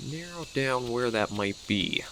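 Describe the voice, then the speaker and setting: A man's voice: mid-range voice, animated, a little slow, noisy background. A man with a Canadian accent who is coming down with a cold, giving casual, rambling gameplay commentary as he thinks aloud. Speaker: gaming video host.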